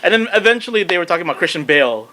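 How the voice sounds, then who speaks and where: A man in his thirties with a slightly high voice, talking casually among friends. He comes across as a podcast guest in relaxed group conversation. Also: racing. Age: 30s. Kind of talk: casual chat.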